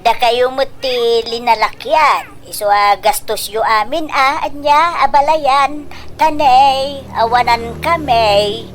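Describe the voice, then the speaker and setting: A woman's voice: on the high side, slow, faint music behind. A woman playing an indignant, scheming mother-in-law in comedic radio drama, whiny and flustered, with an Ilocano accent. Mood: grumbling.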